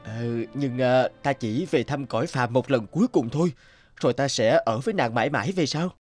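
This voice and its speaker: A man's voice: medium pitch, a little fast, darkish tone, no echo, soft background music, lightly acted. A man voicing a homesick young scholar in a cartoon, speaking in a courtly, archaic way. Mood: pleading. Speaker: voice actor. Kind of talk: cartoon dubbing.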